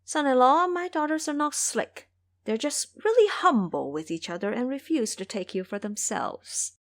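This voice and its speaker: A woman storyteller plays a sly mother-in-law in an audio drama. She exclaims, chides and coaxes, with an animated, swooping delivery. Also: high-pitched, normal speed, voice pushed up. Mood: coaxing. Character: sly, chiding mother-in-law with three daughters.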